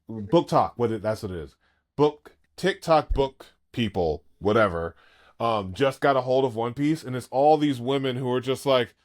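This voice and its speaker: A man in his late thirties chatting casually as a podcast co-host, an animated and gushing fan. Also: mid-range voice. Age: 30s.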